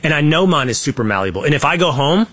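A man's voice: on the high side, sing-song, fast, pushed and bright, slightly rough. A man from Texas with a faint Texan accent, hosting a casual panel chat and giving his opinions. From Texas, USA. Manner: conversational, opinionated, with restarts. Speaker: show host.

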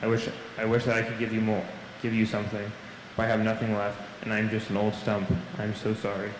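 A man voicing a gentle, self-sacrificing old apple tree from a storybook, softly and apologetically. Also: fairly low pitch, monotone, fast talker, lightly acted. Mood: sad, apologetic. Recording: echoey hall, long reverb, faint noise.

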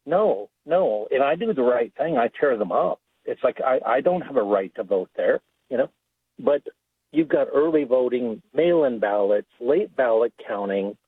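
A male caller speaks over a tinny phone line. His voice is husky and dry, and he chuckles while he complains with indignation. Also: medium pitch, lively intonation, moderate pace, dry recording.

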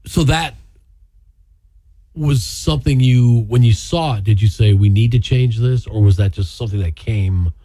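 A man hosting a casual radio interview, chatty with halting restarts, who is losing his voice. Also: mid-range voice, dark tone, dry recording. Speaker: radio host.

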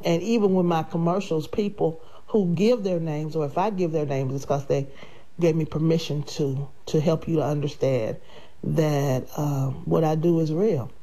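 A woman hosting a radio show and selling life insurance. She talks in a chatty, grateful way with a nasal sound from her sinuses. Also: sits low. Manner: warm, grateful, chatty, with laughs. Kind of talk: casual radio talk.

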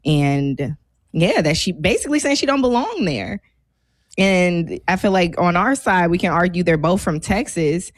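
An American woman co-hosting a talk show, bantering casually in an opinionated, animated way with informal slangy phrasing. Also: on the low side, normal speed, dry recording, studio quality.

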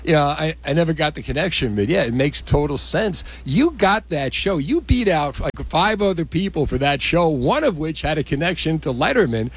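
A man's voice: a little high, big pitch swings, moderate pace, few pauses, a bit bright, slightly rough. A man interviewing in a casual, chatty way, enthusiastic and admiring of his guest.

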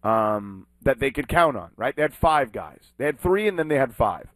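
A man hosting a sports talk show, talking with emphatic, animated delivery. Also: medium pitch, moderate pace, dry recording, low-quality recording. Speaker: sports talk radio host. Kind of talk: sports talk and live read ad.

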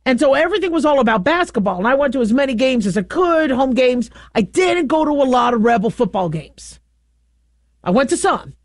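A woman's voice: sits high, very animated, brisk, dry recording, clean studio sound. A woman hosting a call-in radio talk show, talking in a loose, rambling way with many restarts and fillers.

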